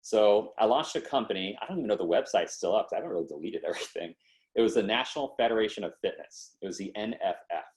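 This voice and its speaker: A man in his late twenties with a somewhat low voice tells stories as a podcast guest. His talk is loose and slangy, and he drops into the voices of people he quotes. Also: fast. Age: 20s. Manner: lively, slangy, acts out quotes. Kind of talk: casual storytelling in an interview.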